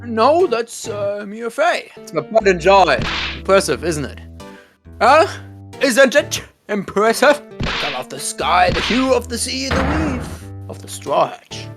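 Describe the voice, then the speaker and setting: Pompous older male attorney played by an amateur, animated delivery, evasive, stumbling, comic game-dub feel. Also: mid-range voice, unhurried, music in the background. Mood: evasive, boastful. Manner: stammering, chuckling, repeating himself.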